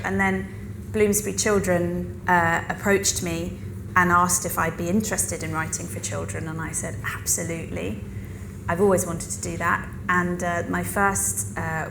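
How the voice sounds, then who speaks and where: A woman's voice: fairly low pitch, creaky. A woman speaking reflectively as a panelist at a live discussion. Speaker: panelist, author. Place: festival venue. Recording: roomy live panel recording with noise.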